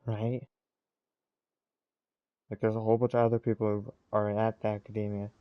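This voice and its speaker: A man's voice: lowish voice, monotone, normal speed, dry recording, dull audio. A man giving casual commentary as he plays through a video game. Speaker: gameplay streamer.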